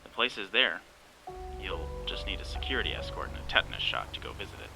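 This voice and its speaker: Low-voiced sardonic guy. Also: very animated, fast, vocal fry, over music, exaggerated voice, low-quality recording. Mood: sardonic, teasing. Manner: wry, sarcastic, exasperated. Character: sardonic, bossy male best friend named Larry, cellphone company worker. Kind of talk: naturalistic audio drama acting.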